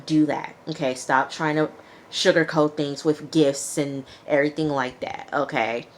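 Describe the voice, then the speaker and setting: Woman w low voice doing a chatty tv recap, lots of opinions, noisy background. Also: fairly even intonation, a little slow. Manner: conversational, opinionated, acts out quotes. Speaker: TV show reviewer. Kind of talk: casual reality TV recap commentary.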